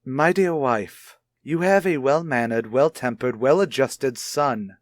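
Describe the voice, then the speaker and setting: A man's voice: mid-range voice, slow, no echo, lightly acted. An actor playing a stern, stubborn husband and father in a radio soap, speaking formally and with sarcasm. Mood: sarcastic, dismissive. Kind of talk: radio soap opera acting.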